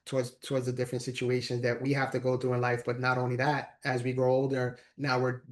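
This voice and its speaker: A man's voice: mid-range voice, monotone, quick pace. A man in his forties, a guest speaker telling his story in an earnest, repetitive way in a casual interview. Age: 40s. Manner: earnest, repeats words while talking. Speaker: guest, motivational speaker and author.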